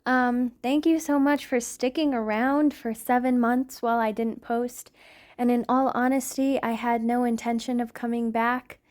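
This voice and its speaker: Higher-pitched woman making casual channel announcements. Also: little pitch movement, normal speed, a little mellow. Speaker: ASMR channel creator.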